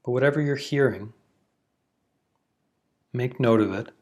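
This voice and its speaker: A man leading a guided meditation, giving slow, soothing instructions with long pauses. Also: medium pitch, darkish tone. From Canada.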